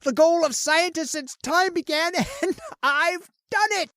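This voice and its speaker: A woman's voice: very high voice, unhurried, fairly bright tone, no room sound, studio quality. Woman playing a manic, bullying mad scientist. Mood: manic triumph. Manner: bullying, jumps from gloating to frantic. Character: manic obsessive male scientist, shouting, arrogant. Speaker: voice actor. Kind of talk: old-time radio drama acting.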